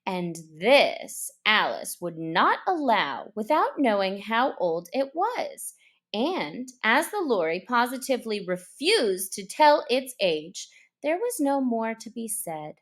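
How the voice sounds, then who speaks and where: Very slow, expressive woman storyteller. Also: a little high. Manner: expressive, deliberate. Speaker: storybook reader. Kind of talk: scripted children's story narration.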